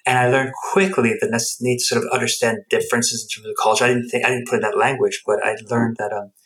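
A man's voice: medium pitch, little pitch movement, quick pace, fairly bright tone, rough recording. A middle-aged man telling personal stories as an interview guest, reflective and emphatic, often rephrasing himself. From United States and Guatemala. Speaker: interview guest, anthropologist and researcher.

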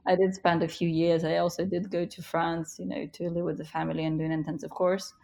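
A woman in her thirties, a native speaker of Russian and Ukrainian, answering interview questions in an earnest, encouraging way with slow, emphatic phrasing. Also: fairly low pitch, flat delivery, vocal fry, warm tone. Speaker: guest, author and business leader.

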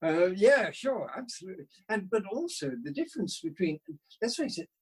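A man's voice: high-pitched, sing-song, moderate pace, a crowd in the background. A man with a British accent, a guest in a casual interview, talking in a rambling, self-deprecating, discursive way. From United Kingdom.

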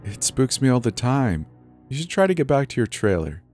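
Male narrator as soothing female manager in a spooky tale, softly spoken, higher register, light music behind. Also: big pitch swings, normal speed. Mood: reassuring. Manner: soft, reassuring. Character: calm reassuring female camp manager from New Zealand. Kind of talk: dramatized horror story narration.

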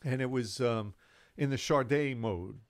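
An older man, a musician, telling his story in a relaxed, rambling way with many fillers and restarts. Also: mid-range voice, slow pace, no room sound. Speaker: musician guest. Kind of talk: casual storytelling interview.

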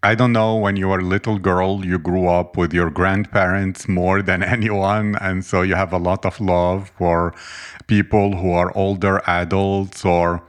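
A man's voice: low voice, flat delivery, a little slow. A man interviewing a guest, asking long, earnest, meandering questions in a conversational way. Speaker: interviewer, host.

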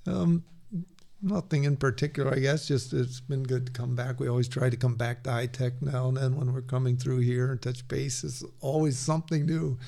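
Gravelly, mellow-voiced male guest. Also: medium pitch, steady tone. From Peru. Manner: halting, many restarts, laughs easily. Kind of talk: casual conversation.

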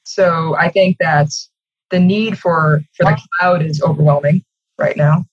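A woman's voice: sits low, fairly even intonation, a little slow, slightly rough, muffled recording. A woman giving articulate, emphatic interview answers in polished corporate phrasing over a video call. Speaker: communications executive, interview guest.

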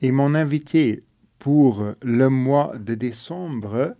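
A man with a Luxembourgish accent hosting a radio interview podcast from a studio, with halting delivery and self-corrections. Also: medium pitch, mellow, dull audio, faint music behind. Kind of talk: interview and show introduction.

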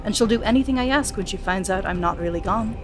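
A woman voicing a sly, scheming young man who turns out to be a villain, speaking in a smooth, taunting way. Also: mid-range voice, quick pace, over music, close to an everyday voice. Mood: cold.

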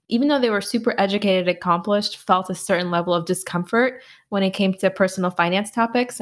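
A young woman answering interview questions casually, telling animated stories with lots of "like" fillers. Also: medium pitch. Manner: animated storyteller with frequent "like" fillers and laughs. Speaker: podcast host and finance blogger, interview guest.

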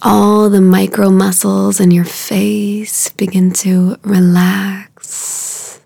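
A woman leads a guided meditation in a hushed, soothing voice. Her delivery is very slow and level, barely moving in pitch, and phrases trail off softly into breath. There is a gravelly fry in her tone, and the sound is close and unhurried. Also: little pitch movement, no room sound.

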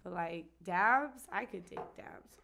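A young woman from with a raspy voice, chatting bluntly as a podcast guest and swearing freely. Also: lowish voice, expressive, takes it slowly, sharp tone, a little hiss or hum. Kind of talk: casual chat.

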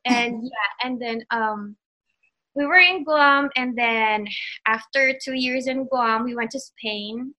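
A woman's voice: on the high side, takes it slowly, sharp tone, poor audio. A woman in her thirties from the Philippines whose first language is Tagalog, speaking with a Filipino accent in a casual interview, hesitant with many fillers and restarts. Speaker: graduate of an online program.

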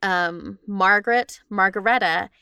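A woman's voice: mid-range voice, measured pace, vocal fry, bright, forceful tone, smooth, no echo, highs cut off. A woman podcast host casually talking through her research in conversation. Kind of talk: casual chat, explaining research.

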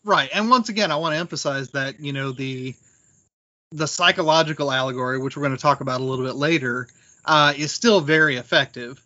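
Man, bright forceful voice, fairly high, scholarly podcast discussion, deliberate explaining, slightly dull lo-fi audio. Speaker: podcast panelist. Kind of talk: conversational literary discussion.

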